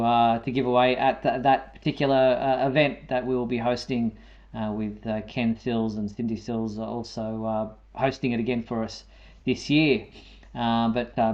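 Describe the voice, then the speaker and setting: Male online show host, medium pitch. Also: darkish tone, muffled recording. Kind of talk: live online show presenting.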